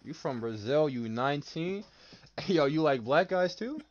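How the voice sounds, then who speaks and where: A man's voice: fairly high pitch, expressive, measured pace, muffled recording. Teasing young man from New Jersey.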